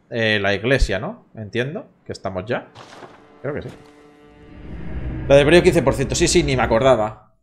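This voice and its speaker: A man in his thirties from Barcelona with a Spanish accent, chatting casually and swearing while streaming a game from a room at home. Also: medium pitch. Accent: Castilian Spanish from Barcelona. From Catalonia, Spain. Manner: chatty, swearing, joking with chat. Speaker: gaming streamer. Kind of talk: casual live gameplay commentary.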